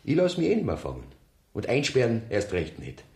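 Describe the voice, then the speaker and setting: An actor playing a defiant, embittered young convict with a Bavarian accent in a radio play, surly and stubborn. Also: male voice, mid-range voice, huge pitch swings, fairly quick, darkish tone, reverberant. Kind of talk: German radio play acting in dialect.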